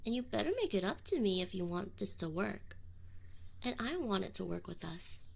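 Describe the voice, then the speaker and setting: Woman playing a prickly tsundere succubus, swooping lively pitch. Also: creaky. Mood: earnest, tender. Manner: defensive, teasing, halting when admitting feelings. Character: tsundere succubus demon, young woman, prickly, needy, affectionate. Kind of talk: scripted ASMR roleplay acting, intimate direct address.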